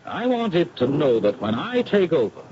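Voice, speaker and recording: An actor playing a flippant young aristocratic skeptic with a breezy, mocking manner in a 1970s radio mystery. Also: male voice, a little high, sing-song, moderate pace. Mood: mocking, defiant. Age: young adult. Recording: muffled, noisy 1970s radio recording.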